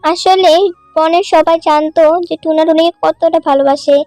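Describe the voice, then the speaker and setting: Very high-pitched woman narrating a story. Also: fairly even intonation, normal speed, darkish tone, no room sound, faint music behind. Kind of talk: scripted children's cartoon story narration.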